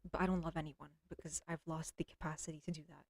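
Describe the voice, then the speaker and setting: A young woman talks straight into her streaming mic from home, wandering off track and interrupting herself. Her voice is a little throaty, and she clears it often. The sound is close and dry, with no room echo. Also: low voice, little pitch movement, fast talker, a little mellow. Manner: rambling, self-interrupting, blunt and crude. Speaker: livestreamer. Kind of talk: casual rambling monologue. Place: at home with a cat.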